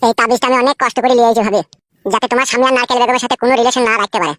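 A woman's voice: fairly high pitch, fairly even intonation, rapid-fire, fairly bright tone, no room sound, studio quality, lightly acted. A woman voicing a scheming young village man in a cartoon, speaking in a wheedling, rustic way. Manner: wheedling, rustic dialect speech. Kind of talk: cartoon voice acting.

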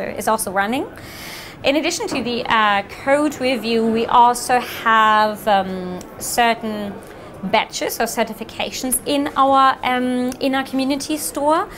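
A woman in her thirties presenting software at a meetup, answering audience questions in a friendly, fluent way with frequent ums. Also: on the high side.

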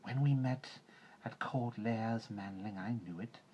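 A man voicing a huge male python who speaks slowly, quietly and deliberately. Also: on the low side, a little hiss or hum, pitched above the performer's own voice. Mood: hushed. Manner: slow, hushed, deliberate.

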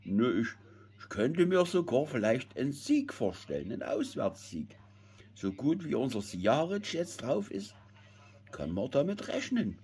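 A man's voice: medium pitch, sing-song, unhurried, a little mellow, slightly rough, exaggerated voice. A man voicing a kindly, down-to-earth puppet friend.

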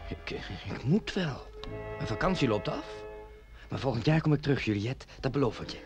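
An actor playing an earnest young Parisian suitor on holiday in a radio play, with music behind him. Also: male voice, mid-range voice, sing-song, normal speed. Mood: earnest, tender. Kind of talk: radio drama acting.

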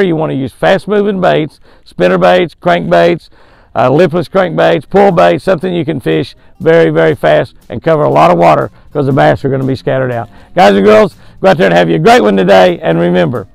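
An older Southern man with a gravelly, slightly high voice talks in a folksy, chuckling way, stretching words for emphasis. He hosts a fishing show, reading a short devotional and giving a bass tip. He is recorded outdoors by a lake, close to the camera, with a dry sound. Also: a little fast, dry recording, poor audio. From American South.

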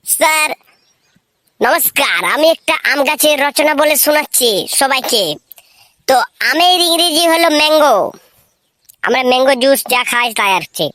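A woman's voice: sits high, moderate pace, long pauses, fairly bright tone. A woman voicing a cheeky, eager village schoolchild in a comic cartoon skit, with a showy, muddled way of reciting. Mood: eager explaining.

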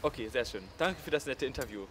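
A young male presenter rattles through his lines at a quick clip. His voice sits at an ordinary middle pitch. Faint background hum from the street outdoors. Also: expressive, echoey room. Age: young adult. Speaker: news presenter and street reporter. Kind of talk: youth news show, street interviews. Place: studio desk, then town square outdoors. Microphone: handheld reporter mic outdoors.

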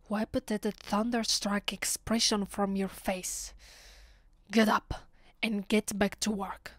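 A woman with an Italian accent playing a haughty, centuries-old vampire mistress in an ASMR roleplay, breathy and often near a whisper, imperious and dramatic. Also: medium pitch, no echo. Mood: agitated, commanding. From Italy.

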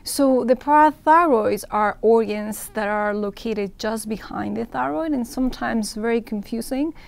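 Creaky-voiced woman from Ecuador explaining. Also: on the high side, a little slow. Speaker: interviewed surgeon. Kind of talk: explanatory medical interview. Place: indoor event room with banner backdrop.

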